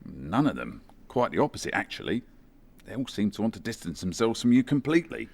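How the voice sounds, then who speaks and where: Comedy radio play: detective inspector played by a man, low voice, varied intonation, matter-of-fact. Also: sing-song, a little fast, vocal fry, darkish tone, exaggerated voice. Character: male police detective inspector, matter-of-fact, faintly apologetic.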